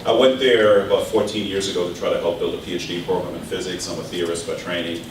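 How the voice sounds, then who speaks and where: A man's voice: sits low, steady tone, fast. A middle-aged man with a German accent gives an informal workshop lecture, talking conversationally with many fillers and restarts. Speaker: physics professor teaching a workshop. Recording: distant mic in an echoey room with background noise.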